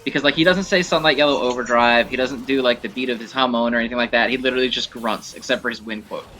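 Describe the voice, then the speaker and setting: A man giving casual, rambling commentary on gameplay in an informal, animated way. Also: mid-range voice, fast, slight background noise. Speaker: gameplay showcase host.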